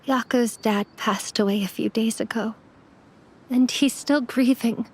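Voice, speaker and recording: Earnest, high-voiced young apprentice. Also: female voice, steady tone, measured pace, breathy edge. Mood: grieving. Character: young immortal apprentice mortician, earnest, subdued. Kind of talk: anime game voice acting.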